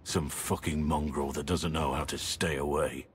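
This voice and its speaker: A man playing a brooding, guilt-ridden middle-aged warrior in a video game, speaking gravely and earnestly. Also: rumbling low, steady tone, normal speed, soft background music. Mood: bitter, weary. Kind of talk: dramatic video game acting.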